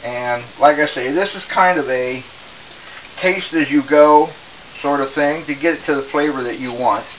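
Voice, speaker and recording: Higher-pitched man giving unhurried cooking instructions. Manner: unhurried, explanatory. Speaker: home cook presenter. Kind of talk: casual cooking demonstration. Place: small home kitchen, indoors. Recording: dull, hissy home camera audio.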